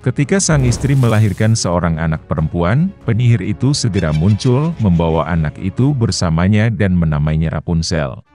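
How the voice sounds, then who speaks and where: Male narrator for a bedtime fairy tale: low, mellow voice, steady and calm reading. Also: normal speed, soft background music. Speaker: storyteller. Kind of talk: scripted bedtime story narration.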